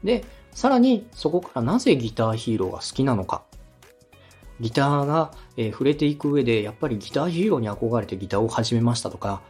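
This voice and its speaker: A man's voice: medium pitch, very animated, fast, a little mellow, breathy edge, soft background music. A man speaking as a podcast host and director, giving polite, step-by-step feedback and acting out short examples as he explains. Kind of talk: structured coaching talk on a podcast.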